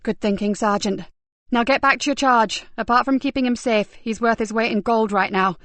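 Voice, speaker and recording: A woman doing a sharp, commanding lady detective. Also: fairly high pitch, steady tone, normal speed, no echo, lightly acted. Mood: brisk. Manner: sharp and urgent. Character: urgent, forceful female detective boss.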